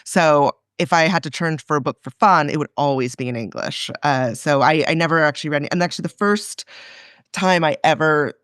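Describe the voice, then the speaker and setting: A woman's voice: low voice, brisk, fairly bright tone, no room sound. Guest author: Canadian woman, loose chatty delivery, lots of "um"s and laughs, close dry mic. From Canada and France. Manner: chatty, laughs often, many fillers and restarts. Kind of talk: casual interview chat.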